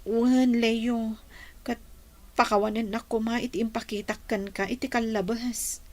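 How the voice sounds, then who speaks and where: A woman's voice: fairly high pitch, level tone, unhurried, darkish tone, lightly acted. A woman playing a tender young woman in love in an Ilocano radio drama, with an Ilocano accent. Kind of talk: radio comedy-drama acting.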